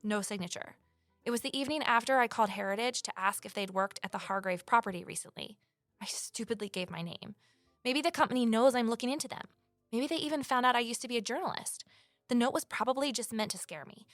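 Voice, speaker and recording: An actress playing a wary amateur sleuth and former journalist in a naturalistic audio drama, speaking in a cautious, matter-of-fact way. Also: female voice, on the high side, fast talker, fairly bright tone, dry recording, studio quality, faint music behind. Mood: wary, uneasy.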